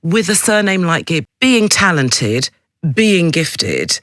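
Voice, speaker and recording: A man's voice: fairly high pitch, sing-song, takes it slowly, a bit bright, dry recording. A man hosting a radio interview in a studio, speaking in a direct, effusive, emphatic way. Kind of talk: broadcast interview chat.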